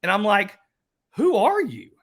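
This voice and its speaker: A man's voice: high-pitched, huge pitch swings, measured pace, fairly bright tone. A man with an Eastern Kentucky accent hosting a workshop panel, chatting folksily with the audience. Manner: chatty, folksy, restarts and speaks to the audience as "guys". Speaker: host. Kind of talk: casual workshop talk.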